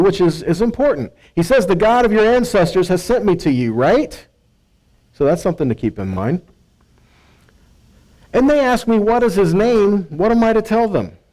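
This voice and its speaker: A man's voice: high-pitched, darkish tone, rough recording. A man giving an informal Bible teaching, reading scripture and putting rhetorical questions to his listeners.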